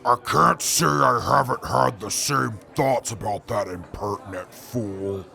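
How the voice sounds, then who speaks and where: A man playing a gravelly, pompous would-be city builder. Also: medium pitch, measured pace, pushed and bright, faint music behind, full character voice. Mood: haughty. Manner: haughty, archaic indignant phrasing. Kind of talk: audio drama acting.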